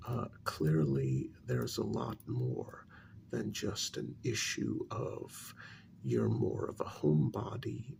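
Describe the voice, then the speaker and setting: A man playing a patient middle-aged couples therapist in a soft ASMR role-play, speaking low, often near a whisper, in a measured, halting, placating way. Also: vocal fry, a little hiss or hum, heavily acted. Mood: patient, explaining.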